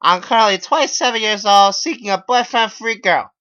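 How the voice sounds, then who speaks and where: Whiny awkward nerd dude for a story, really high and piercing, halting and dragged out, earnest. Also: male voice, sharp tone, highs cut off. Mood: awkward, hesitant. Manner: halting, drawn-out, with stammered uh. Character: awkward 27-year-old unemployed geeky man, whiny and earnest.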